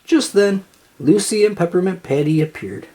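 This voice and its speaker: A children's story reader giving an expressive read-aloud narration. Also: medium pitch, moderate pace.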